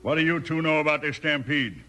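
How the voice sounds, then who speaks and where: Sharp, sneering western foreman. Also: a little high, fairly quick. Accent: American Western. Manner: sneering, challenging. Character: suspicious, sneering ranch foreman with a gun. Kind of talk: 1950s radio western acting.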